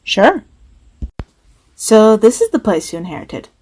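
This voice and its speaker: A woman's voice: mid-range voice, big pitch swings, a little slow, a little mellow, slightly rough. Female actor as a friendly, apologetic young woman; casual and chatty.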